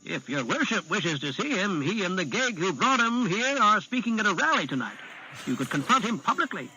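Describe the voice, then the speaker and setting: Guy putting on a high, pushy bearded dwarf boss, blunt and bossy, storybook voice. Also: very animated, brisk, bright, forceful tone, breathy edge, no room sound, faint music behind, exaggerated voice, low-quality recording. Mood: fawning. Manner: blunt, insistent, commanding. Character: High Froman Darrow, a gruff bearded dwarf leader.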